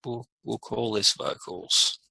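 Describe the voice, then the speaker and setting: A man presenting a casual software tutorial, informally thinking aloud as he demonstrates each step. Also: mid-range voice, fairly even intonation, takes it slowly, creaky, breathy edge, dry recording, low-quality recording. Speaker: webinar presenter.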